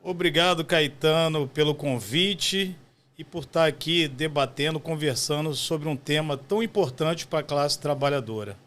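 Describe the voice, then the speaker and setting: Higher-voiced Rio man in his fifties. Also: moderate pace, fairly bright tone. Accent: Brazilian, Rio de Janeiro. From West Zone of Rio de Janeiro, Brazil. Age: 50s. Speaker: podcast guest, postal union director. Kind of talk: conversational interview answers, reminiscing.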